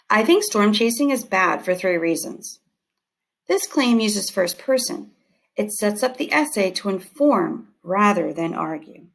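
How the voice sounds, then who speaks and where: A woman teacher reading scripted lesson text aloud in a deliberate, instructional manner. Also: mid-range voice, vocal fry.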